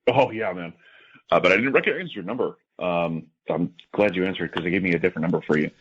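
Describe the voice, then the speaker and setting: Deep husky man on a call. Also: quick pace, fairly bright tone. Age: 30s. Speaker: man answering a phone call. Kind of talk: casual phone conversation. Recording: muffled lo-fi phone call.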